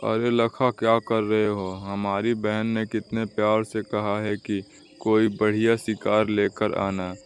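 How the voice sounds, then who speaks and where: A man's voice: on the low side, level tone, takes it slowly, a little hiss or hum. A man voicing a responsible, caring eldest brother crow in a children's cartoon story. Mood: reproving.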